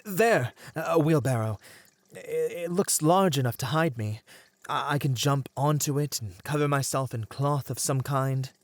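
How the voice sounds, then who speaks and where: A man playing a merman siren in an ASMR roleplay, speaking in courteous, formal phrases as a seemingly gentle creature with a predatory streak. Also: mid-range voice, no echo, close to an everyday voice. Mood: eager, earnest. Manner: soft, courteous, formal, halting speech. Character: male merman siren, feigning gentle innocence, secretly predatory.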